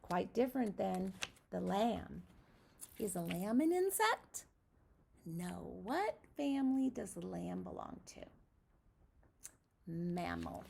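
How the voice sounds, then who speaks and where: A woman's voice: on the low side, very animated, slow pace, creaky, long pauses, darkish tone, breathy edge. A woman teacher reading a picture book aloud expressively to young children, adding encouraging asides and questions.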